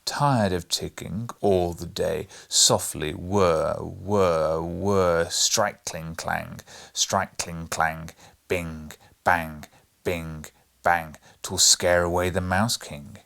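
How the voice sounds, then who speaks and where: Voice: deep voice, fairly even intonation, very slow, vocal fry, fairly bright tone, voice pushed down, full character voice. A performer voicing an eccentric old godfather from a storybook, with a grating, theatrical and emphatic delivery. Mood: grating chant. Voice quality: grating when chanting. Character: eccentric old godfather counsellor, grating, monotonous, droll, testy.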